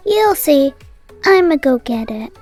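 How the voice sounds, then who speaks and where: A reassuring young mom, played by a young girl, talks slowly in a high, girlish voice. She sounds soothing and slightly hesitant in a homemade game roleplay, with music underneath. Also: lively intonation, a little mellow. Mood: matter-of-fact. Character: calm, reassuring young mom of little kids. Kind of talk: hobby game roleplay story.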